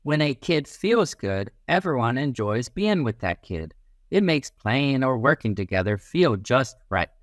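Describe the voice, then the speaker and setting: Animated man reading aloud to children. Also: mid-range voice, no room sound, clean studio sound. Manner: instructive, with drawn-out emphasis on key phrases. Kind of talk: expressive children's read-aloud.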